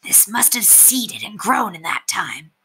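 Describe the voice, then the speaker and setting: Woman putting on a gruff, grumbling bandit chief. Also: mid-range voice, big pitch swings, a little slow, sharp tone, full character voice, low-quality recording. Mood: urgent, frustrated. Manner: grumbling, commanding. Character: gruff bearded male bandit chief, impatient and sardonic.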